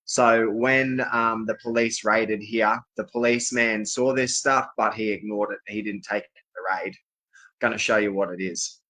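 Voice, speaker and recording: A man's voice: fairly low pitch, fairly even intonation, normal speed, sharp tone, rough recording. A man from Australia giving a rambling, insistent personal monologue to viewers, often repeating his declarations. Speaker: vlogger speaking to camera.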